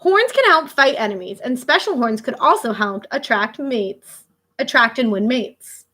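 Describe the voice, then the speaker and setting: Bright, high-pitched woman reading to children. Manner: expressive, child-directed, pausing to react and explain. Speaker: elementary school teacher reading a picture book. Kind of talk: read-aloud of a children's nonfiction book with casual asides.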